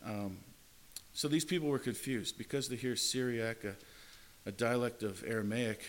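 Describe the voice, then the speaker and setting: A man's voice: medium pitch, normal speed. A man preaching a sermon in an earnest way, often repeating and restarting his words. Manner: earnest, repetitive phrasing with frequent restarts.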